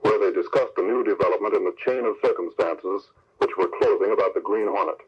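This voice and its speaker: A man's voice: deep voice, steady tone, quick pace, husky. A man narrating an old radio crime serial in a formal, scripted style. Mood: dramatic, formal. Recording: muffled old radio recording.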